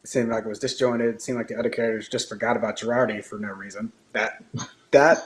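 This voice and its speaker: A man's voice: fairly low pitch, fairly even intonation, quick pace, poor audio. A man co-hosting a casual podcast discussion, speaking hesitantly with lots of fillers. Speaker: podcast co-host. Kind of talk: casual discussion over a call.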